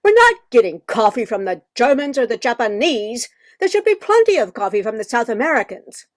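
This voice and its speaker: A woman playing a controlling, quick-witted 1940s mother in an amateur radio play, fussy and exasperated. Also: on the high side, very animated, no echo, clean studio sound. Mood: indignant.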